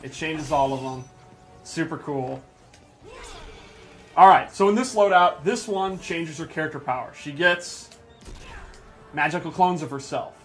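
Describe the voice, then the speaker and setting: A man's voice: a little high, a little slow, pauses often, fairly bright tone, soft background music. A man giving enthusiastic, explanatory commentary on a casual live gameplay stream as a game developer. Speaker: game developer demonstrating a character.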